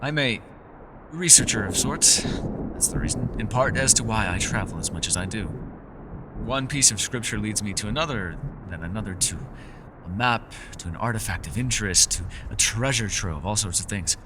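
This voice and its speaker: A man's voice: lowish voice, expressive, normal speed, noisy background, close to an everyday voice. A man voicing a mysterious, playful elf scholar in a fantasy ASMR roleplay, speaking in genteel, theatrical, formal phrases. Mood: proud, explanatory. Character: mysterious, courteous, playful male elf scholar living alone. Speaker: voice actor. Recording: rain, thunder and storm ambience behind. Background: rain and thunder sound effects.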